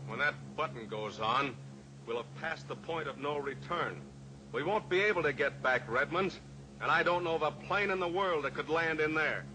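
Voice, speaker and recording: An actor playing a calm, steely cowboy pilot hero who coolly taunts his captor, in a 1950s radio drama. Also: fairly high pitch, moderate pace, lightly acted. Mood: coolly taunting. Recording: old radio recording with hiss.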